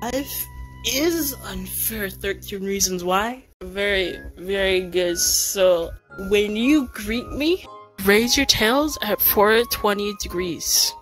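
A woman voicing a crude, fed-up animal principal in a parody cartoon, with slangy, drawling deadpan. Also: medium pitch, slow pace, faint music behind, lightly acted, rough recording.

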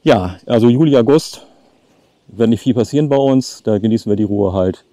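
Low, very mellow man in his fifties. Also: normal speed, dry recording. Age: late 50s. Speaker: travel vlogger. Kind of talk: casual chat to camera. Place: outdoors by a lake.

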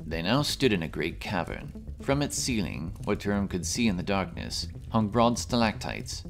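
A man's voice: low voice, big pitch swings, moderate pace, creaky, music in the background. A man narrating a scripted fantasy adventure story as the narrator of an audio drama.

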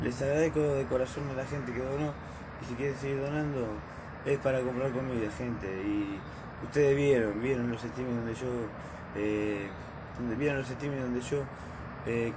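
A man's voice: mid-range voice, darkish tone, slight background noise. A young man with an Argentine accent rambling and repeating himself in a casual livestream, filmed on a phone held at arm's length in a small cluttered apartment. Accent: Argentine (Rioplatense). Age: young adult. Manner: rambling, repetitive, emotional, slangy. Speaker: streamer.

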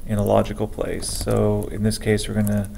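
A man teaching a programming lesson over a screen recording, speaking slowly and haltingly as he reads code aloud. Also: fairly low pitch, completely flat delivery, vocal fry, dark tone, slight background noise. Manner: slow, halting, dictates code aloud with many pauses. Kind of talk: screen-recorded coding tutorial.

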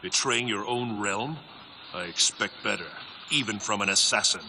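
An actor playing a stern, wise thunder god in a video game, measured, formal and grave. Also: male voice, fairly low pitch, bright, forceful tone, rough recording. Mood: stern reproach. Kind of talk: dramatic video game voice acting. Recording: clear background noise behind voice.

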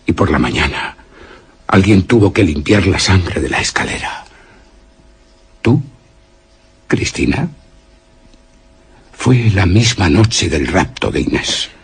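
A man's voice: sits low, animated, takes it slowly, long pauses, slightly rough, heavily acted. An actor playing an ardent, suspicious man in a radio suspense drama, earnest and intense. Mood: suspicious, probing. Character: ardent, suspicious male visitor to a gloomy castle.

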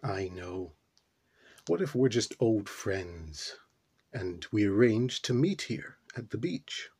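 A puzzled, brooding man played by a male actor muses through an absurdist stage monologue. His voice is lowish and husky, and he ponders very slowly, halting between thoughts. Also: sing-song, darkish tone, heavily acted. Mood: suspicious, brooding, then wistful. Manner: halting, pondering, with deliberate pauses.

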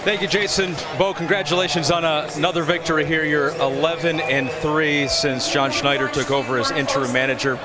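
A man interviewing a player on the field with a handheld mic, asking playful, energetic questions. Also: on the high side, fairly quick, few pauses, over loud music. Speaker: field reporter. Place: outdoor stadium beside the dugout.

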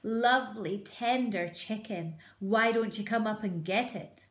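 A woman voicing an angry, blustering farmer who shouts in a high strained voice. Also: takes it slowly, echoey room. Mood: taunting. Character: fat angry middle-aged farmer, blustering.

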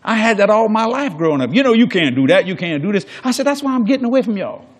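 A man's voice: high voice, very animated, quick pace, husky. An older man preaching a sermon on a large church stage before a congregation, folksy and jokey, acting out quoted people in different voices.

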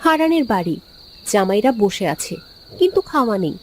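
A woman's voice: mid-range voice, very animated, normal speed, slight background noise. Woman narrating a cartoon story.

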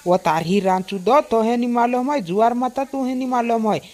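A woman's voice: a little high, moderate pace, darkish tone, close to an everyday voice. A defiant cartoon villager, voiced by a woman. The character argues insistently, in a scolding way. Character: defiant, argumentative village voter. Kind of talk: cartoon comedy dialogue.

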